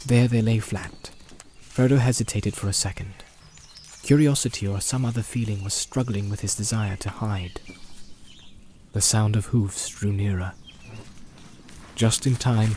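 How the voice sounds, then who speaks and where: A man narrating a fantasy audiobook as a deliberate, atmospheric and suspenseful storyteller. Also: on the low side, fairly even intonation, dark tone, a little hiss or hum. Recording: home-made, nature soundscape mixed behind. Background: animal and nature sound effects.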